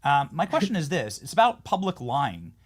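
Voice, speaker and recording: A man's voice: a little high, sing-song, normal speed, slightly rough. A man hosting a political interview show, talking emphatically and often repeating words as he builds a point. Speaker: show host.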